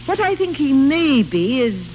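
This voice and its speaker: A woman's voice: high voice, big pitch swings, unhurried. An actress playing a fussy, disapproving but obedient lady-in-waiting in a vintage radio comedy, prim and deferential. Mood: grudging obedience. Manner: prim, deferential, hesitant with superiors. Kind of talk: 1930s-40s radio comedy acting. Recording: dull, noisy old radio broadcast.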